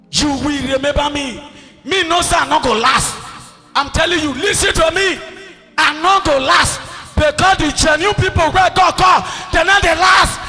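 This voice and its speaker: A man's voice: very high-pitched, bright, forceful tone. A man with a Nigerian accent preaching a fiery, scolding sermon as a pastor, commanding and repeating his phrases. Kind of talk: fiery Pidgin-inflected sermon. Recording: echoey hall PA, music behind.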